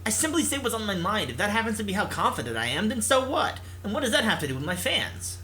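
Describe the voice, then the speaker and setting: Blunt young female gamer, read by a let's-player, defensive and proud, bright, animated pitch. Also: fast, slight background noise. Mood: indignant. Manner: blunt, indignant.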